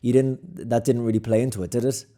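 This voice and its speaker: An Irish man in his thirties hosting a podcast from a home studio, asking quick, rambling questions on a stand mic. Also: mid-range voice, steady tone, mellow. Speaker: podcast host. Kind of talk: conversational interview.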